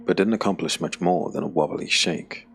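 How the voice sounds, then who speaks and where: A man narrating a dark fantasy audiobook drama in measured, weighted phrases. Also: deep voice, creaky, slight background noise. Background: background music.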